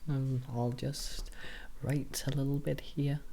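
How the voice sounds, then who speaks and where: A man doing a soft-spoken ASMR roleplay as a shop assistant, talking in a hesitant, halting, unhurried way. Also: mid-range voice, flat delivery, creaky, a little hiss or hum. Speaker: ASMR creator playing a keyboard shop assistant.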